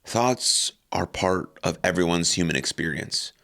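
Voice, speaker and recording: A man leading a guided meditation calmly and unhurriedly, in short phrases with long pauses. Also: deep voice.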